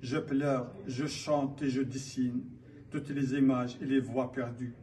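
A man from Kabylia in Algeria declaiming his own poetry in a solemn, measured manner. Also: mid-range voice, little pitch movement, dark tone. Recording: distant mic, faint background noise.

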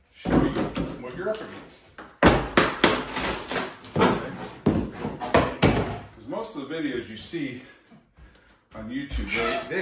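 Folksy older man teaching home repair. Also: mid-range voice. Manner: chatty, folksy, keeps checking in with viewers. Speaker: DIY home repair presenter. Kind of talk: casual how-to demonstration. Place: small kitchen indoors. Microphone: camera mic on a tripod, some distance off. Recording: echoey room with clear work noise.

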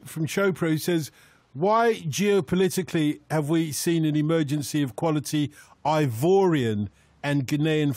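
A man's voice: a little high, a little mellow, dry recording. A man hosting a radio phone-in show, chatting jokily and reading out listener messages in a studio. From Nigeria. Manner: jokey, chuckling, reads out listener messages.

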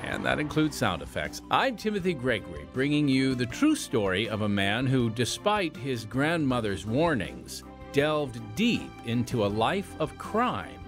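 A man's voice: medium pitch, very animated, measured pace, few pauses, over music. A man hosting and narrating an audio drama podcast in a scripted, theatrical, emphatic style.

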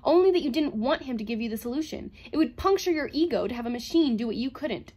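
A woman's voice: on the high side, expressive, normal speed, a bit bright, husky. A woman voicing a stiff, anxious senior male scientist with a formal manner in a story reading. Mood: toneless, firm.

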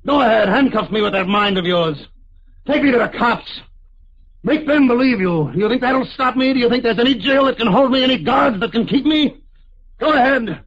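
An actor playing a defiant, selfish, hot-tempered killer in a vintage American radio drama. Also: male voice, very high-pitched, lively intonation, moderate pace, exaggerated voice. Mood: desperate defiance. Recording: dull, band-limited old radio recording.

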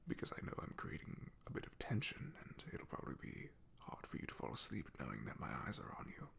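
A man playing an awkward, loyal knight in a soft ASMR fantasy roleplay, half-whispering in a breathy, gravelly voice with a hesitant, apologetic, deferential manner. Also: deep voice, huge pitch swings, heavily acted. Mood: nervous, eager-to-please. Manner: hesitant, apologetic, halting with throat clearing.

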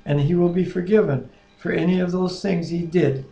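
A man in his sixties reading scripture aloud in a measured, deliberate way, with brief bits of chat. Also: high voice, steady tone, gravelly, mellow, lo-fi sound. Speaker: Bible reader and co-host. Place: living room at home, indoors. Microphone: webcam at arm's length. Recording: roomy, echoing webcam sound.

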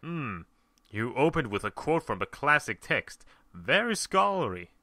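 Man playing a bright, knowing shadowy being. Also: mid-range voice, very animated, measured pace, no room sound, studio quality, voice pushed up. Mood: appreciative. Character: suave, teasing, reassuring shadowy audience being. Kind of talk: dramatic fan fiction reading.

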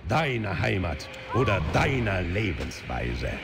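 A man voicing a mocking dark spectre in a video game, speaking slowly and ominously to taunt a warrior. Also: deep voice, very animated, vocal fry, exaggerated voice. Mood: menacing mockery. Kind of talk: dramatic video game acting. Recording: loud music under the voice.

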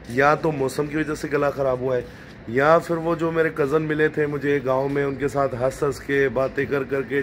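Hoarse man vlogging at home. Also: medium pitch. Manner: chatty, teasing, addresses viewers directly. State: hoarse throat. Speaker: family vlogger host. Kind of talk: casual vlog talk to camera. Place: at home, indoors. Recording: noisy home recording.

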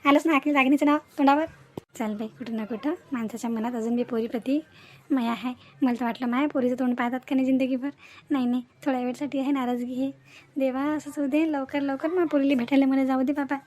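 High-voiced, soothing middle-aged mother played by a woman. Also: steady tone, fast. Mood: hopeful, tender. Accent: Vidarbha Marathi. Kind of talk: cartoon family drama dialogue.

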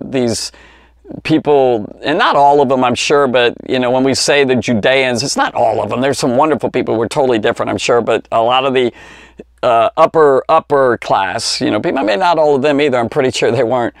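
A man's voice: mid-range voice, fairly quick, no room sound, studio quality. A man in his fifties with an American accent, giving an informal, rambling Bible lesson for kids, often restarting and correcting himself. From Cincinnati, United States. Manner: rambling, conversational, with false starts and self-corrections. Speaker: Bible teacher. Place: small indoor study lined with bookshelves. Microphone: lapel mic clipped at the collar.

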